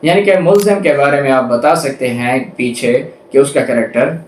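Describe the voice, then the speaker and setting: Man, Pakistani accent, medium pitch, didactic law lecturer, repetitive emphasis, echoey room. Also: expressive, normal speed. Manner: didactic, repeats points for emphasis. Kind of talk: explanatory lecture, reading statutes and paraphrasing them. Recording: echoey room with faint background noise.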